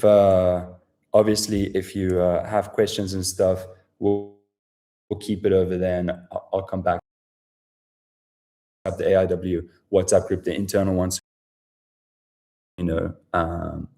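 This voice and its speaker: A man's voice: fairly low pitch, mellow. A man with a South African accent presents a live sales webinar in a casual, profane, filler-heavy style.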